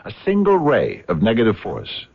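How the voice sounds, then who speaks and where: An actor playing a defiant, sardonic American scientist in a radio drama, with deliberate, dramatic delivery. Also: male voice, lowish voice, wildly swooping pitch, creaky, slightly rough, exaggerated voice. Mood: measured, accusing. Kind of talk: 1970s radio drama acting. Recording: dull, lo-fi old radio broadcast.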